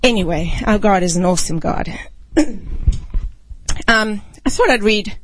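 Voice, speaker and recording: A woman ministry speaker, raised outside the United States, gives a reflective devotional talk and reads scripture, often clearing her throat. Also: mid-range voice, lively intonation, measured pace, no room sound, rough recording.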